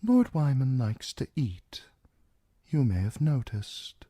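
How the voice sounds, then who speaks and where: A man voicing a cold, soft-spoken older lord who murmurs everything mildly. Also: mid-range voice, sing-song, takes it slowly, breathy edge, no echo, studio quality, pitched above the performer's own voice, exaggerated voice. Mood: mild, cool.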